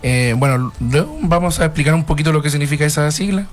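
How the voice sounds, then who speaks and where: A man, a nurse, hoarse, speaking apologetically as a radio guest with fillers. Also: fairly high pitch, fast, soft background music.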